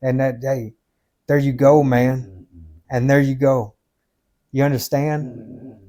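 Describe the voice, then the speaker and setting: A man's voice: mid-range voice, fairly even intonation, slow, warm tone. A man in his fifties giving blunt, motivational life advice on a podcast. He repeats his points for emphasis and keeps calling the listener "man" and "dude". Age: 50s.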